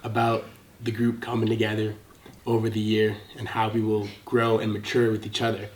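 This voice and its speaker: A young man from Illinois answering interview questions in a relaxed, unhurried way. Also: lowish voice, a little hiss or hum, rough recording. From Illinois, USA. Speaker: student council member.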